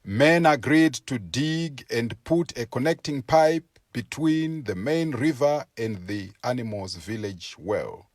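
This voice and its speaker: A man reading a folktale aloud as a storyteller, with expressive, deliberate and dramatic phrasing for young listeners. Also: medium pitch, dry recording, clean studio sound. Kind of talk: expressive scripted story narration for children.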